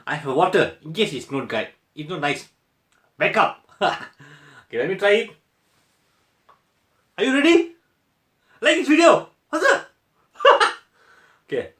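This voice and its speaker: A young man, playful and loud, talking straight to his viewers. His voice sits high and swoops all over. It bounces around an echoey room. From Malaysia. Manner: playful and exclamatory, laughs often, talks to viewers. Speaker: food vlogger. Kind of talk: casual taste-test vlog.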